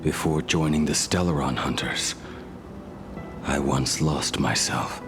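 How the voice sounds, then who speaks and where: A man playing a stoic, world-weary ancient swordsman in a video game, grave and terse, his phrases often sinking into a breathy hush. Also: very deep voice, slow, over music. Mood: solemn, resigned. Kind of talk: dramatic video game voice acting.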